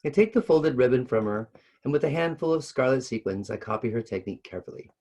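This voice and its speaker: A man reads aloud in a storytelling style at a middling pitch. His delivery is expressive and casual, with the odd stumble over the words. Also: fast talker, dull audio. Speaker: co-host reading a story aloud.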